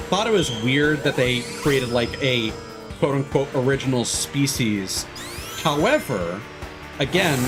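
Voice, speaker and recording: A man doing casual, rambling livestream commentary over a video game, thinking aloud as he goes. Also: mid-range voice. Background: music behind the voice.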